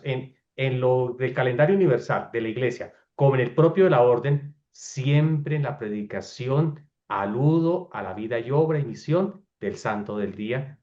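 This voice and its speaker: A man's voice: medium pitch, a little mellow, dull audio. A man speaking as a friar giving an informal lecture, emphatic and often checking understanding with short questions.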